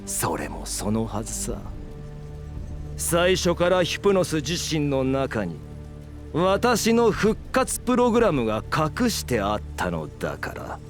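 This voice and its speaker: A man's voice: a little high, wildly swooping pitch, measured pace, music in the background. An actor playing a gloating, megalomaniac scheming villain in an audio drama, theatrical and condescending, drawing out his taunts. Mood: smug, gloating. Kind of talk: Japanese audio drama acting.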